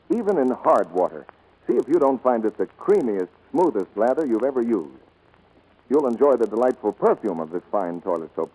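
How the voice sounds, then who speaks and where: A man's voice: medium pitch, dark tone, breathy edge, low-quality recording. A man announcing and reading scripted sponsor messages in the style of 1940s radio. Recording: vintage 1940s radio recording.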